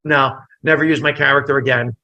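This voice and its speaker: A man's voice: mid-range voice, brisk, creaky. A comedian telling a story in a casual interview, acting out the people in it. He grew up speaking Italian first and is from New Jersey. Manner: animated storyteller, acts out dialogue. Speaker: comedian guest.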